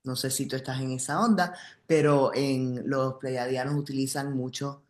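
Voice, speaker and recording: Deep-voiced Caribbean woman hosting an interview. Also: fairly even intonation, moderate pace, a little mellow. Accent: Caribbean Spanish. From Puerto Rico. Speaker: interview host. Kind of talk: casual interview conversation.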